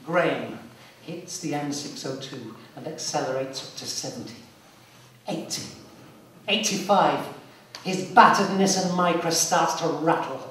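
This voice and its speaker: A man plays a grave, ominous storyteller delivering dark dramatic narration on stage. The voice is fairly high for a male and takes its time, with measured pauses. It comes from far off in a very reverberant, noisy live recording. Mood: tense. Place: theatre stage, live performance.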